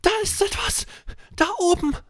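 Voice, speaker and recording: A man voicing a small, excitable, wide-eyed boy in a children's bedtime story. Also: moderate pace, natural voice. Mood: startled.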